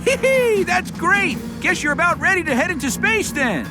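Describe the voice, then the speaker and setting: A woman voicing a perky, matter-of-fact young female tech helper in a cartoon game cutscene, slightly husky, chirpy and informal. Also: very high-pitched, sing-song, moderate pace, over music. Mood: upbeat. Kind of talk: animated game cutscene acting.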